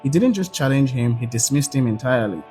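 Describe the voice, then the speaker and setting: Measured male narrator over faint music. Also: mid-range voice, lively intonation, warm tone. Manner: measured, dramatic storytelling. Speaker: documentary narrator. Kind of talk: scripted dramatic narration.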